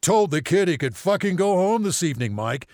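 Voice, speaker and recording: A man acts a hot-tempered, foul-mouthed father in an audiobook. He is loud and brusque, in middle age, with his pitch driven up and swinging widely. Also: sing-song, brisk, dry recording, clean studio sound. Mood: irritated. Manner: yelling, brusque. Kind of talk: audiobook character acting.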